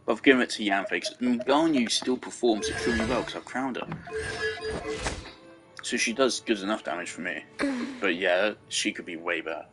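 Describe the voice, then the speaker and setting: A man with a lowish voice gives casual commentary on a game. He rambles and thinks out loud, circling back on his own points. Faint music plays behind him. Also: normal speed, rough recording. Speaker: game streamer.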